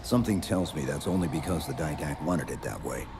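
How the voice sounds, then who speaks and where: An actor playing a stoic, terse super-soldier in a video game, with a deadpan military delivery. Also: male voice, extremely low pitch, a little fast. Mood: terse, steady. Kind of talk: cinematic video game acting. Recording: loud battle and vehicle noise.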